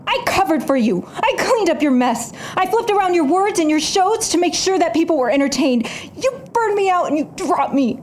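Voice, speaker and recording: A woman's voice: extremely high pitch, lively intonation, moderate pace, hardly any pauses, slight background noise. A woman playing an embittered, defiant stage magician in an audio drama, narrating her own story with dramatic intensity. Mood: angry, defiant. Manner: dramatic, swings from hushed narration to angry outbursts. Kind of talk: audio drama acting with first-person narration.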